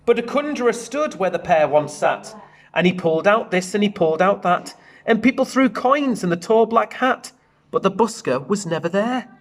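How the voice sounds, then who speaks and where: A man reads a picture book for young children. His pitch swings widely as he moves through the rhymes in a sing-song teacher's way, breaking off for chatty asides. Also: normal speed. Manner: animated, sing-song rhyming read-aloud. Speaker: primary school teacher reading a storybook.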